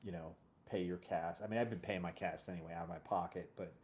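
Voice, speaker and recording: Guy hosting a chatty podcast, kinda low voice, rambles and corrects himself. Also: steady tone, quick pace, a little mellow, slight background noise. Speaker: podcast host and audio drama series creator. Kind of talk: casual interview chat.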